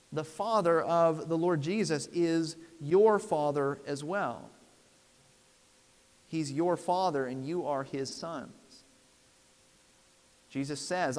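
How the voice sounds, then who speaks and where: A man in his thirties preaching a sermon from a church pulpit in an earnest, emphatic, deliberate way. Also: on the high side. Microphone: lapel mic. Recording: long hall reverb.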